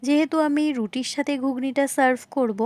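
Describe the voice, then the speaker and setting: A woman with a high voice gives a recipe voice-over. She explains each point politely, often restating it, and speaks straight to her viewers. The sound is close and dry. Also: steady tone, a little fast, a little mellow, no room sound. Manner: polite, repetitive explaining, addressing viewers directly. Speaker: home cooking video host. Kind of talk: recipe narration, instructional voice-over.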